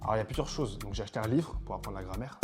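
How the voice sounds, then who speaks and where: A French man hosting a casual chat, explaining in a relaxed way and often adding "you see". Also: on the low side, racing, loud music behind the voice. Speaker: host, Wolof teacher.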